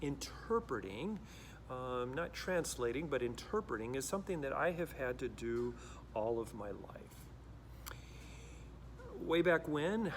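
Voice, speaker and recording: Earnest middle-aged pastor, faint hiss. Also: male voice, medium pitch. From Costa Rica. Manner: reflective, earnest, with frequent restarts. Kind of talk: direct-to-camera talk.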